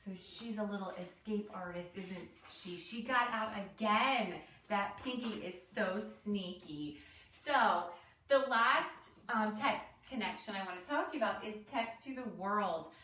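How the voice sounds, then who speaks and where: A woman's voice: mid-range voice, a little slow, bright, forceful tone, a little hiss or hum. A woman teacher reading a picture book to children, explaining as she goes and putting questions to the listener. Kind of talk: picture-book read-aloud with commentary for children. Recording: roomy echo, distant mic.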